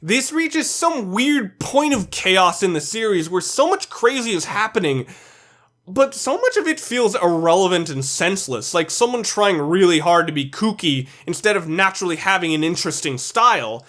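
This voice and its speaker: A man's voice: sits high, sing-song, moderate pace, a bit bright. A man narrating a countdown video as its reviewer, delivering opinionated scripted commentary in a blunt, sweary, emphatic way.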